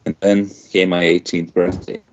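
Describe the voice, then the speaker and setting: A man's voice: sits low, level tone, normal speed, a little hiss or hum. A man reading a first-person story aloud as an amateur, sometimes restarting a line. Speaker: amateur fan fiction reader. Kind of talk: scripted narration read aloud in first person. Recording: dull lo-fi microphone.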